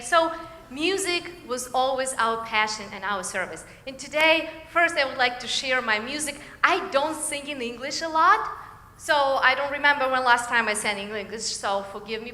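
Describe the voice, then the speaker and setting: Ukrainian lady talking slow and halting at church, a bit high-pitched, long echo in the hall. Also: sharp tone. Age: 40s. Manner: halting, with many fillers. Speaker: guest singer. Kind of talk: church testimony and introduction. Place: church sanctuary. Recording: echoey church hall with music.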